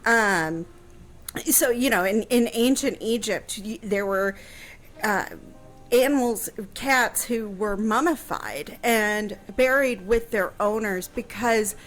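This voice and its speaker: A woman explaining things conversationally on a radio show, thoughtful and pausing mid-phrase as she goes. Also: mid-range voice, soft background music, low-quality recording. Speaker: radio guest answering history questions.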